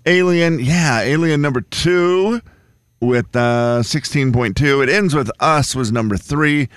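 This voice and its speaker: A man's voice: on the high side, clear, resonant tone, no room sound, studio quality. A man hosting a morning radio show, chatting casually and teasing his co-hosts. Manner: playful, teasing, quick asides.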